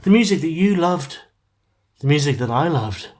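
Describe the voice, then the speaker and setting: A man as host giving drawn-out, mock-nostalgic linking narration. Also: fairly high pitch, expressive, darkish tone, a little hiss or hum.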